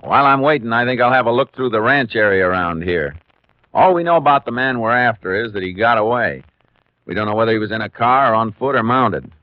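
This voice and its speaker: An American actor with a Western accent playing a calm, methodical Texas Ranger in a 1950s radio western, clipped and matter-of-fact. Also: male voice, medium pitch, fairly quick, dry recording, lightly acted. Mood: thoughtful, steady. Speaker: lead actor in a radio drama. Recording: muffled, noisy 1950s radio recording.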